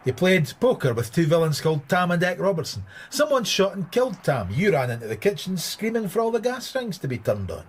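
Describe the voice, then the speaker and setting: An actor playing a dogged, sardonic police inspector in a radio drama, with a brusque, probing way of talking. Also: male voice, high-pitched, big pitch swings, fairly quick, hardly any pauses, slightly rough, full character voice. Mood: needling.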